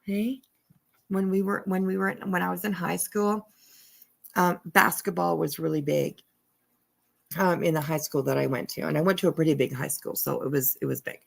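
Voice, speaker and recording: A woman's voice: lowish voice, steady tone, normal speed, creaky. A woman commenting casually and reading documents aloud, irreverent and giggly in manner. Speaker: livestream host.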